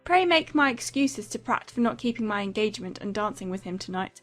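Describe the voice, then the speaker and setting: A woman's voice: mid-range voice, lively intonation, brisk, faint music behind, lightly acted. A woman playing a giddy, thoughtless, lovestruck teenage girl, breezy and gleeful, in a dramatized period audiobook.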